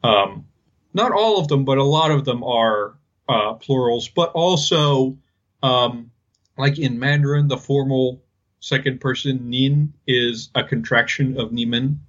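A man co-hosting a podcast, talking casually and haltingly as he explains things. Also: medium pitch, fairly bright tone, dull audio. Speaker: podcast co-host. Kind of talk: casual linguistics discussion.

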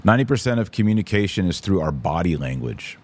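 Man, deep voice, podcast host, casual commentary, slangy, emphatic. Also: very animated, quick pace. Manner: informal, opinionated, emphatic, slangy. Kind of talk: casual livestream commentary, answering viewer comments.

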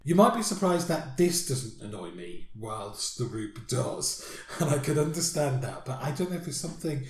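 A man's voice: mid-range voice, very animated, normal speed, hardly any pauses. A man co-hosting a casual chat about songs, rambling and laughing often. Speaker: podcast co-host. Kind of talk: casual music review chat. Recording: roomy echo.

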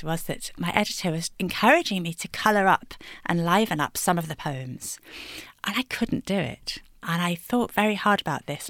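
A woman's voice: fairly low pitch, fairly bright tone, dry recording, studio quality. A woman poet from England answering interview questions thoughtfully, laughing lightly between thoughts. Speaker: poet guest.

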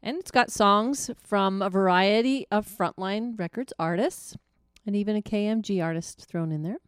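A woman's voice: medium pitch, normal speed, smooth, dry recording, studio quality. A woman co-hosting a radio show as its producer, talking in a casual, conversational way. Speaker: executive producer and co-host.

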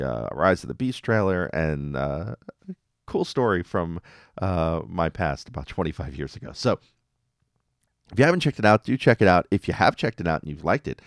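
A man's voice: sits low, dry recording, clean studio sound. A man hosting a casual fan podcast, recapping cartoon episodes in an enthusiastic, conversational way. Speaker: podcast host. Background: music under the voice.

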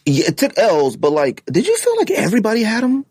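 A man's voice: high voice, wildly swooping pitch, a little fast, a little mellow, slightly rough, dry recording, studio quality. A man talking in a casual, slangy and emphatic way, like a podcast host bantering with a friend.

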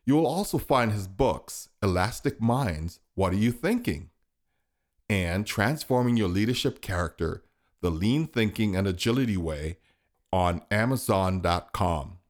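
A man reading a scripted podcast outro, briskly running through sponsor plugs and web addresses. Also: on the low side, lively intonation. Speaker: podcast host.

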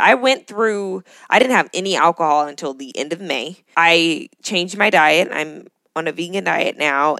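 Woman podcast co-host, low-pitched, dry sound. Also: a bit bright, dry recording. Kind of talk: casual reflective conversation.

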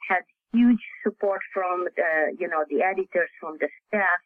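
A man from Hungary, native Hungarian speaker with a Hungarian accent, answering interview questions haltingly with many fillers and restarts. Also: sits high, no room sound, narrow, tinny audio. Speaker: author guest.

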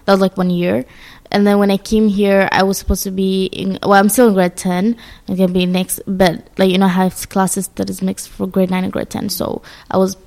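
A woman's voice: medium pitch, little pitch movement, a little fast, vocal fry, darkish tone. A teenage girl from Eritrea, speaking hesitantly with many restarts. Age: teens. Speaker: interviewee.

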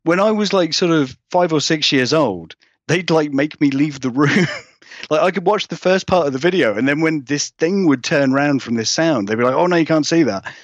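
A man's voice: on the high side, moderate pace, no echo. British man, slightly dull recording. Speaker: guest horror writer. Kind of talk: casual interview chat.

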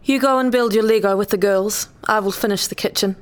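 A woman's voice: medium pitch, a little fast, clear, resonant tone, lightly acted. A woman playing a stern mother who speaks in firm, terse, scolding phrases. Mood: curt. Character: stern, curt wife and mother of two girls.

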